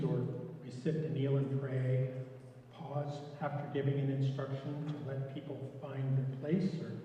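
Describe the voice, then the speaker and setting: A soft-spoken man giving an informal training talk to lay readers, relaxed, with frequent restarts. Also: medium pitch, slight background noise. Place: echoey church hall. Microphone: room mic from a distance. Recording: echoey, distant, dull room recording.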